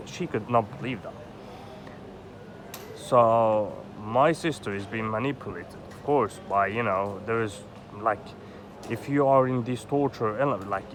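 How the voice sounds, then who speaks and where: A man in his thirties from Egypt, ranting in an agitated, rambling way with lots of swearing and filler words. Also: on the low side. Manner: agitated, rambling, swears often, heavy "you know" fillers. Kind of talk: rambling personal vlog monologue. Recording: loud outdoor street traffic noise.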